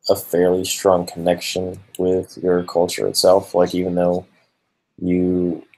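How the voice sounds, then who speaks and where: A man who is the interviewer asks long, winding questions that stop and restart often. His voice sits very low. He sounds casual and unhurried. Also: completely flat delivery, darkish tone, rough recording. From Hawaii.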